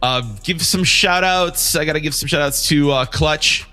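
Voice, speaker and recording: A man's voice: a little high, normal speed, pushed and bright, smooth, soft background music. A man hosting a podcast in a casual, chatty style. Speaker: podcast host.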